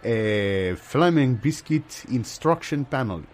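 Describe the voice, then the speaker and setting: A man playing a grandiose, confidently absurd film festival host, improvising mock live broadcast banter with theatrical, deliberate phrasing. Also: medium pitch, animated. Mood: mock-authoritative.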